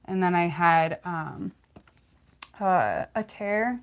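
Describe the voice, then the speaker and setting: Soft-spoken woman chatting with many fillers. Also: on the low side, expressive, gravelly. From California, United States. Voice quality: slight lisp. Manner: halting, many fillers and restarts. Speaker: livestream host. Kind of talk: casual livestream chat.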